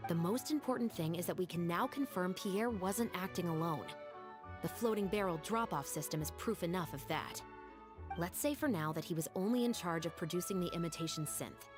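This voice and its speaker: A woman playing a composed, methodical young investigator in a video game, speaking in a measured, formal way over music. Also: fairly low pitch, lively intonation, natural voice. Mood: measured, analytical.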